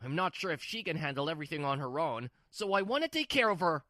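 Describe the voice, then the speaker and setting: A woman's voice: low voice, sing-song, fast, fairly bright tone, dry recording, studio quality. A woman voicing a stubborn, brusque husband who dotes on his little sister, in a scripted manga dub. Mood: insistent. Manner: brusque, defensive.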